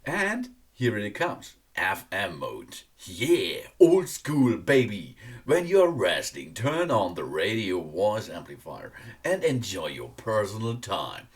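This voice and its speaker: A man who is not a native English speaker gives a casual product review in an enthusiastic but halting way, repeating words. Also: fairly low pitch, huge pitch swings. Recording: distant microphone.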